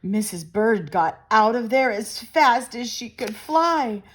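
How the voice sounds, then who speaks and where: A middle-aged woman reading a children's picture book aloud as storyteller, expressive and deliberate. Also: fairly high pitch. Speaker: storyteller and channel host.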